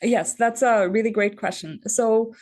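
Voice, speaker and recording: A woman from Bangladesh, an endocrinologist, giving measured, methodical explanations as a podcast guest. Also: on the high side, darkish tone. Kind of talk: explanatory interview answers.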